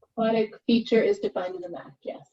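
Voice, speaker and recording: A woman's voice: a little high, fairly even intonation, fast talker, dark tone. A woman chairing a committee conference call, running procedural discussion and votes. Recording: muffled, distant conference call audio.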